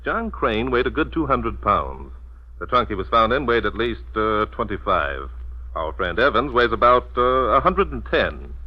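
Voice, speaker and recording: An actor playing a calm, dryly amused, reasonable detective in an old radio mystery. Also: male voice, fairly low pitch, normal speed. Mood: measured. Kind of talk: 1940s radio mystery acting. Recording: dull vintage radio recording.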